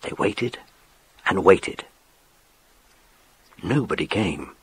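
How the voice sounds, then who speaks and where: A man's voice: low-pitched, lively intonation, drawn-out words, slightly rough. A man narrating a children's adventure story in a scripted, dramatic, suspenseful storytelling manner.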